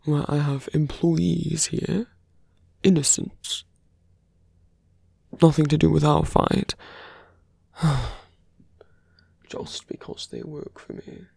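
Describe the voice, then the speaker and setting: A man voicing a suave, seductive villain mage in an ASMR roleplay, hushed, deliberate and condescending, with calm menace and a mocking edge, often dropping to a whisper. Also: fairly high pitch, vocal fry, breathy edge, dry recording, studio quality, full character voice. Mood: smug, taunting.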